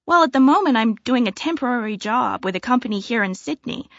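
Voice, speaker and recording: An easygoing young woman joining a club in an exam listening dialogue. Her voice sits a little high and answers come relaxed and clear. The studio sound is dry and slightly dull. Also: brisk, no echo. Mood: friendly. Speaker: new club member. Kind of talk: scripted listening-test dialogue.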